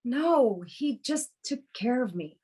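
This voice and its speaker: A woman's voice: on the high side, lively intonation, takes it slowly, dark tone, husky, exaggerated voice. A woman playing a distressed, pleading middle-aged prostitute in a screenplay table read. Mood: alarmed, pleading. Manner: pleading, emotional.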